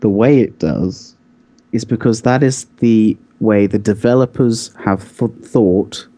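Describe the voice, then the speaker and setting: A man's voice: on the low side, measured pace, warm tone. A man co-hosting a podcast, explaining his points insistently in a casual debate. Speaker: podcast co-host.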